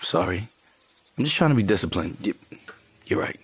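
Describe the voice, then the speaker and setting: An easygoing partner in a domestic audio drama. He coaxes and teases with dry humor in a fairly low voice. The sound is dry, with no room on it. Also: big pitch swings, moderate pace, creaky, breathy edge, no room sound, full character voice. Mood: mild, teasing. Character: easygoing, gently teasing husband-type partner. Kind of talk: naturalistic audio drama dialogue.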